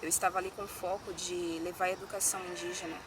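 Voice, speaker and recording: A young Brazilian woman giving an earnest interview testimony, in short phrases with many pauses for agreement. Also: on the low side, flat delivery. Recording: outdoors from a distance, loud traffic noise.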